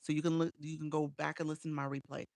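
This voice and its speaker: A woman's voice: deep voice, little pitch movement, fast, vocal fry, a little mellow, no room sound, clean studio sound. A woman from Chicago coaching live streamers in a casual livestream chat. She reads viewer comments aloud and answers them, with frequent "um"s, on a small desk microphone at home.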